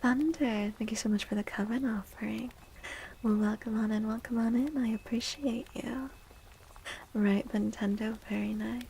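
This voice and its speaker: Female ASMR streamer, soothing and whispery, with creaky edges and a mellow tone, close-miked over faint noise. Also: on the high side, steady tone, poor audio. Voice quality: breathy, often whispery. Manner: gentle, soothing, unhurried. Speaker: livestreamer reading tarot for viewers. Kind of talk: soft-spoken ASMR.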